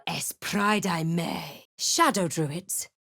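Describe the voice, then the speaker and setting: A woman voicing a wary, composed adventurer heroine for a fantasy video game, with measured, dramatic delivery. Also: on the low side, a bit bright, no room sound, clean studio sound, exaggerated voice. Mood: watchful, measured.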